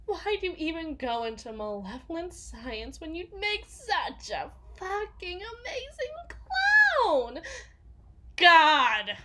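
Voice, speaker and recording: A woman playing a gruff, sweary villain's bodyguard who confides haltingly and sardonically in an intimate ASMR audio roleplay. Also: very high-pitched, huge pitch swings, bright, forceful tone, a little hiss or hum, heavily acted. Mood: exasperated amusement. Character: gruff, foul-mouthed female villain's bodyguard, sardonic and haunted.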